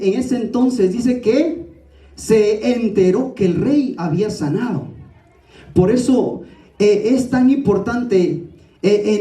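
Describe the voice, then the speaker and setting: A man's voice: very high voice, warm tone. A man preaching a sermon in a didactic, exhorting way, addressing listeners directly. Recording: echoey room, distant from the mic.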